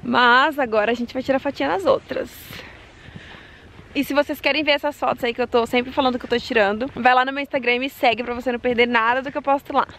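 A young woman with a high voice and a Brazilian Portuguese accent talks casually to her followers. She is vlogging outdoors on a handheld camera, with faint ambient noise around her. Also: steady tone, fast talker, a bit bright. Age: 20s. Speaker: travel vlogger. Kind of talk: casual vlog chat to viewers. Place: outdoors by desert roadsides and trails. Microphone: camera at arm's length.

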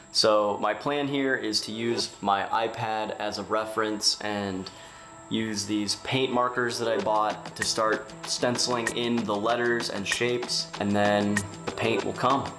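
A man in his thirties with an American accent talking casually and enthusiastically to camera as an artist vlogger. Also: on the low side. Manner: upbeat, enthusiastic. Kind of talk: casual vlog talk to camera. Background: music.

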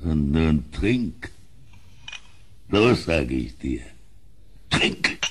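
A man's voice: extremely low pitch, very animated, extremely slow, slightly rough, heavily acted. An actor playing a cruel, drunken king in a German audio drama, domineering and mocking as he commands. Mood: menacing. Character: cruel, drunken, bullying king, given to loud mirth.

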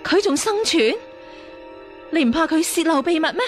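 An actress playing a stern, righteous martial-arts nun in a radio drama, questioning with sceptical authority. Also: female voice, very high-pitched, animated, normal speed, soft background music. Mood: shocked, accusing. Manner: interrogating, accusing, authoritative. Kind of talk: Cantonese radio drama acting.

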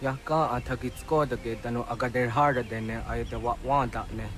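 A man's voice: medium pitch, steady tone, fairly quick, faint music behind, natural voice. Earnest young warrior played by a man. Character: earnest, questioning young male village warrior. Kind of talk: dramatic game cutscene acting.

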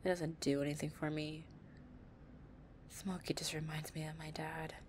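Casting: woman to play a possessive delinquent girlfriend for roleplay audio, tough yet tender and anxious, low voice, unhurried delivery. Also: slight background noise. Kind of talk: intimate roleplay audio acting.

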